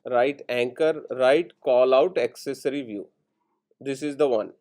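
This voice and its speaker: A man lecturing in programming, explaining each step in short instructional phrases with pauses between them. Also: medium pitch, darkish tone. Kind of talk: step-by-step classroom coding tutorial.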